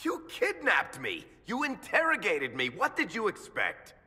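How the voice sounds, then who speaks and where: A man's voice: high voice, huge pitch swings, a little slow, sharp tone, breathy edge, exaggerated voice. A man playing a defiant, loyal rogue in a video game, blunt and sarcastic. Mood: angry, defiant. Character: defiant, sardonic roguish man, loyal to his friend. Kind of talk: dramatic video game acting. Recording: long hall reverb.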